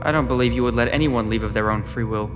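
A man's voice: mid-range voice, fast, natural voice. A man playing a defiant teenage boy, echoing. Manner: terse, confrontational. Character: defiant, stubborn teenage boy held captive. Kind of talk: sci-fi audio drama acting. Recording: long hall reverb under prominent music.

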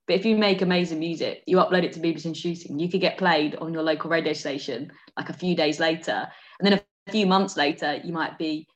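A woman's voice: low voice, fast talker, dull audio. A woman presenter chatting enthusiastically as a guest over a video call. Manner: enthusiastic, animated, uses "like" often. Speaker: radio and TV presenter, podcast guest. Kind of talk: casual interview chat over video call.

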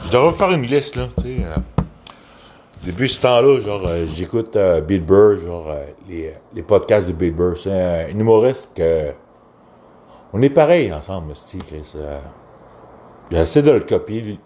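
A man with a Québécois accent and a slightly low voice that swings widely in pitch. He rambles through a casual, profane rant, hesitating as he goes. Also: expressive, measured pace, dark tone, a little hiss or hum. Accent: Québécois French. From Quebec.

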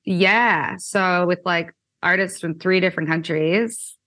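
Casting: young woman from Canada, musician guest, lower voice, rambling casual chat. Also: takes it slowly, pushed and bright. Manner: chatty, many fillers like "like" and "um".

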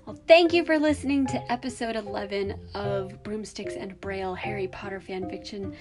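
A woman's voice: mid-range voice, moderate pace, over music. A woman with a young-sounding voice hosting a podcast, musing hesitantly with many fillers. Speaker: podcast host. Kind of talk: casual solo podcast commentary.

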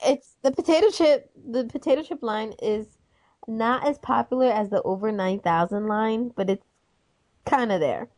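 A woman's voice: on the high side, moderate pace, a little mellow. A woman in her twenties chatting casually, rambling through stories and giggling often. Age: 20s. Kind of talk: casual chat.

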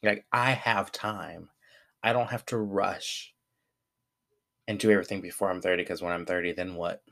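A man in his twenties reads his own essay aloud, then reflects on it in a relaxed, candid way with frequent fillers. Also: deep voice, moderate pace, vocal fry, dry recording, clean studio sound. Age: 20s. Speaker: vlogger.

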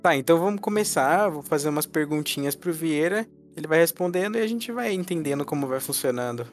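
A young man in his twenties hosts a loose, joking podcast chat and rambles on. His voice sits a little on the high side. Faint music plays underneath. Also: expressive, fairly quick. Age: 20s. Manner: rambling, joking, laughs easily. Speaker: podcast host. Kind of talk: casual chat.

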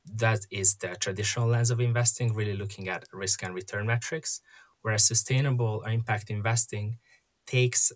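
A man from Hungary, a podcast guest explaining investing in a measured way with frequent pauses and fillers. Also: lowish voice, steady tone. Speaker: guest, investment app founder. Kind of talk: podcast interview.

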